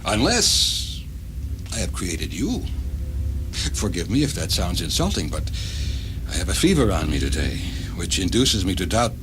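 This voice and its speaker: A troubled exiled Russian writer, grave and brooding, his halting speech rising and falling widely. Faint music runs under this radio drama. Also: low-pitched, big pitch swings. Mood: weary, tormented. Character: weary, troubled exiled male Russian novelist soldier, suspicious and tormented. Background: faint background music.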